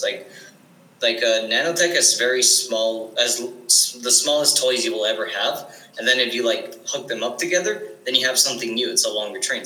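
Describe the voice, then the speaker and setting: A man's voice: on the low side, sharp tone, echoey room, rough recording. A teenage boy answering interview questions eagerly, with frequent restarts and fillers. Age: teens. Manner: eager, restarts often, many likes and ums. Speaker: guest.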